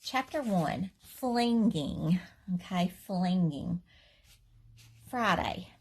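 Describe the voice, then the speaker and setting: A woman's voice: on the low side, animated, drawn-out words, darkish tone, breathy edge. A woman teacher chatting casually to children before reading a storybook aloud, in a friendly, chatty way. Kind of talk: casual intro to a read-aloud, then storybook narration.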